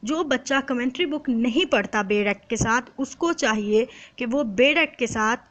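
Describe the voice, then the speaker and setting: A woman with a Pakistani accent giving an explanatory exam lecture as an instructor, mixing in English terms and reading questions out slowly and deliberately. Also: on the high side, fairly bright tone.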